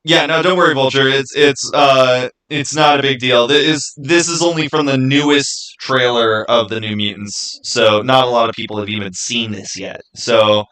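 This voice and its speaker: A man with a mid-pitched voice hosts a livestream. He talks in a casual, conversational way, wandering through his thoughts as he responds to people in the chat. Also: steady tone, moderate pace, hardly any pauses, sharp tone, poor audio. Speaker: livestream host. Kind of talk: casual livestream chat, answering viewers' comments.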